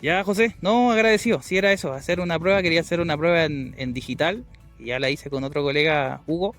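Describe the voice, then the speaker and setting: A man's voice: fairly high pitch, quick pace, a bit bright, faint music behind. A man with a Chilean Spanish accent talking casually as an amateur radio operator doing a field test outdoors. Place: outdoors on a hilltop.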